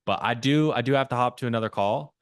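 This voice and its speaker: A man's voice: mid-range voice, fast, clear, resonant tone, no room sound, clean studio sound. A man coaching a salesperson on a video call, talking casually with lots of "like" fillers. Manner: casual, filler-heavy, frequent "like".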